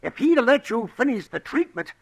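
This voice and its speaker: A man's voice: extremely high pitch, very animated, brisk, creaky, husky, no echo, exaggerated voice. An American man acting an irascible, principled frontier town doctor in a crusty, emphatic Western radio drama style. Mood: indignant, scolding. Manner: crusty, emphatic, hesitating sputters. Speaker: actor.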